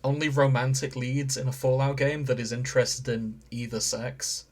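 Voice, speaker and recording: Guy hosting a gaming podcast, average pitch, measured explainer, jokes on the side. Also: flat delivery. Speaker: podcast host. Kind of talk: casual podcast chat about video games.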